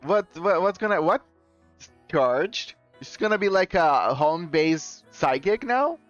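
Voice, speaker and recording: A man's voice: a little high, animated, unhurried, a bit bright, no room sound. A man streaming live and chatting casually with his viewers, rambling and often correcting himself with a chuckle. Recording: dull lo-fi stream audio with faint music.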